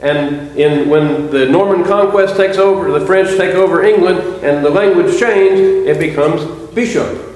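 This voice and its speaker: A man's voice: high voice, a little slow, darkish tone. A man preaching a sermon to a congregation, explaining scripture and putting questions to his listeners. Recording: echoey hall.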